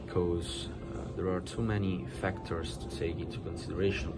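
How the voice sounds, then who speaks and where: A young man from Italy speaks slowly and carefully into a webcam in a high-ceilinged room. His voice is very deep, and loud music plays under him. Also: steady tone. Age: young adult. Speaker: interviewee. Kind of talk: prepared video statement.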